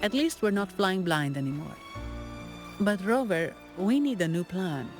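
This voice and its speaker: A woman voicing a composed investigator in a fantasy game. She talks in a medium-pitched voice, formal and measured, analytical and courteous, with music clearly behind her. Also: lively intonation, natural voice. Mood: calm, considering. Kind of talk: video game character acting.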